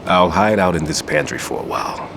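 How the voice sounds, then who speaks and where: An actor playing a seasoned older male bomb expert and wary mentor with a measured, grave delivery. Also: low voice, lively intonation, gravelly, noisy background. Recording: game cutscene with music.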